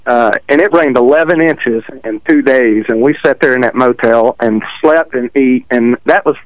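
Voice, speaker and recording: A man from Texas with a country accent, a drag racer telling stories in a relaxed, self-deprecating way over the phone. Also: medium pitch, moderate pace, no room sound, highs cut off. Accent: Texan country. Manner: easygoing, self-deprecating storyteller. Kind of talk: casual interview chat. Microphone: phone call.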